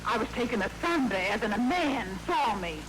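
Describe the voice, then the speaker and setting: A woman plays a wisecracking spinster maid on old-time radio, her high voice leaping up and down as she lands each deadpan gag. The sound is a crackly vintage broadcast under a loud wash of hiss. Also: moderate pace, close to an everyday voice. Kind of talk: 1940s radio comedy acting.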